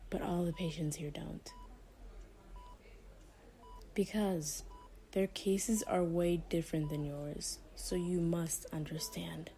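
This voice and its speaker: A woman playing a doctor with a split persona, tender yet menacing, in a soft, hushed ASMR roleplay with a condescendingly sweet manner. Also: low-pitched, a little hiss or hum. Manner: slow, hushed, breathy delivery, condescendingly sweet. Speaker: voice performer.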